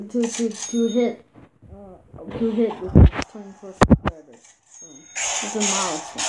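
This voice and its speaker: Halting, high-voiced young gamer woman. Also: very noisy. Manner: halting, repeats words, many fillers. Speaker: YouTube gamer. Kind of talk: casual mobile gameplay commentary. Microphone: phone screen recorder mic.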